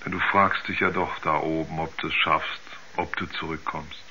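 An actor playing a troubled astronaut who haltingly recalls his moon journey in a colloquial way, in a 1970s German radio play. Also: very deep voice, level tone, sharp tone, a little hiss or hum, exaggerated voice. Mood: reflective. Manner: halting, colloquial, searching for memories. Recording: muffled 1970s radio recording.

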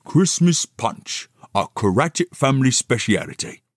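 Man as gentle family father, pitched up. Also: expressive, a little slow, dry recording, studio quality. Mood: festive, proud. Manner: warm, mild, placating. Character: kindly, gentle, grateful poor family father.

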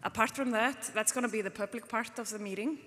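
A woman from Iceland, chair of the board, opening a public meeting in a relaxed, chatty way with many fillers. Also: fairly high pitch, fast talker. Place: conference hall stage. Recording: long hall reverb.

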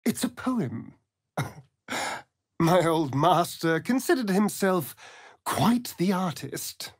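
A man's voice: high-pitched, big pitch swings, extremely slow, dry recording, studio quality, heavily acted. A man with a British accent voicing a vain, sardonic, seductive vampire rogue in a fantasy video game, with an arch, flamboyant, mocking manner. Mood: smug, seductive.

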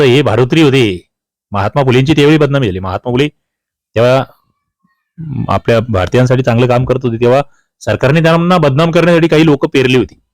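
A man's voice: medium pitch, no room sound. A man with a Maharashtrian accent teaching an exam-prep history quiz, addressing students emphatically, repeating key questions and answers with colloquial asides. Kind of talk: quiz-style history lesson, talking to students.

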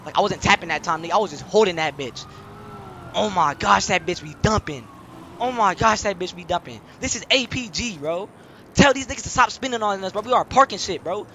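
High-pitched young man, boastful and slangy. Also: animated, brisk, bright, forceful tone, slight background noise. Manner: boastful, repetitive, heavy street slang and swearing. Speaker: game streamer. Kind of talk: excited casual live-stream commentary while role-playing in a game.

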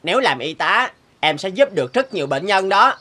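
A woman voicing an earnest, expressive young boy in a cartoon dub. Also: medium pitch, fairly quick. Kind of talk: cartoon dubbing. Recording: music behind the voice. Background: instrumental music.

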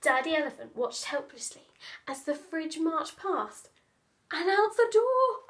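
A woman in her thirties reading a children's story aloud in an expressive, dramatic manner. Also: extremely high pitch, a little slow. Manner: expressive, dramatic, with stage-whispered asides. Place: small room at home, indoors.

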